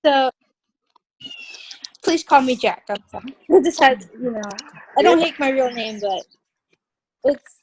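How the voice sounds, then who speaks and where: A woman's voice: high voice, animated, measured pace, pauses often. A woman of twenty-two chatting casually in a group call, talkative and self-deprecating. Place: online group video call. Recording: noisy video call, clicks.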